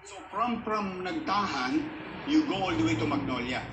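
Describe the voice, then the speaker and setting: A man's voice: high-pitched, sing-song, measured pace. A Filipino man chatting casually as an interviewer, chiming in and echoing what his guest says. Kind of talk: casual chat in mixed Tagalog and English. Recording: roomy echo with background noise.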